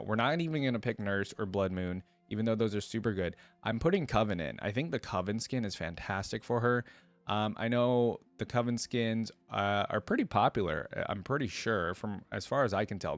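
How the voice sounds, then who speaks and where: A man with a fairly low voice presents a casual reaction video. He speaks informally, thinking out loud as he goes and at times reading text aloud. The sound is very dry, with no room echo.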